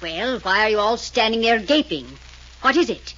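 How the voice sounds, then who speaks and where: An elderly, imperious matriarch. The voice is sharp and cutting, curt and commanding, in vintage radio drama style on a dull old recording. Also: female voice, on the high side, lively intonation, moderate pace. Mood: brusque, commanding. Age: older. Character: imperious, brusque, elderly grandparent who runs the household. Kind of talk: 1940s radio mystery acting.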